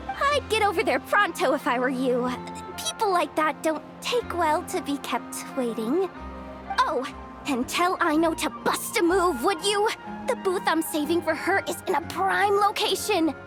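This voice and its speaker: A frazzled young female organizer from a video game, very high and lively in pitch. She talks in casual slang, stammering and sighing like someone with too much to juggle. Music plays behind her. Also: a little slow. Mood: hurried.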